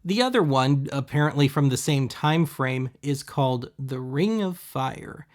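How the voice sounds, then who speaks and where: A man's voice: mid-range voice, animated, measured pace. A man hosting a thrift-shopping video, giving casual voice-over commentary in a wry, sarcastic manner.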